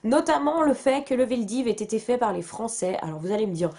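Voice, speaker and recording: A woman's voice: fairly high pitch, lively intonation, brisk, mellow. A young woman from France chatting casually to camera about books, with many fillers and restarts and a self-mocking way of talking. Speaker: book vlogger.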